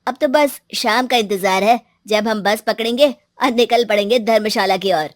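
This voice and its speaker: Casual young male friend in a story, read by a woman: high, questioning, dry studio sound. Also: normal speed, no room sound, lightly acted.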